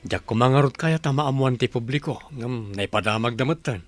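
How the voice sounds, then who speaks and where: An actor with an Ilocano accent playing a calm, generous rich businessman. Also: medium pitch, normal speed, soft background music, natural voice. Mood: gentle.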